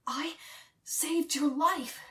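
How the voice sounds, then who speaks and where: A woman's voice: high voice, very slow, fairly bright tone, recorded from a distance, pitched above the performer's own voice, heavily acted. A woman voicing a stubborn, pleading boy adventurer in an audiobook, breathless as he bargains. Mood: desperate, panting.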